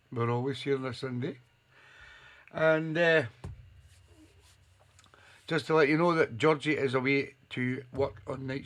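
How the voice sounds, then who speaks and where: A man hosting a live online soul radio show, his voice hoarse and gravelly after a night out, chatting and reeling off listeners' names. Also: mid-range voice, a bit bright. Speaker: radio DJ and host. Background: soul music playing.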